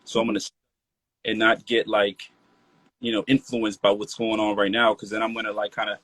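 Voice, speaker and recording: A man's voice: lowish voice, level tone, brisk, fairly bright tone, breathy edge, dry recording, low-quality recording. A man in his late twenties, a rapper from Maryland, talking loosely in a casual interview, full of "like" and "you feel me". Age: 20s. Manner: laid-back, loose, peppered with "like" and "you feel me".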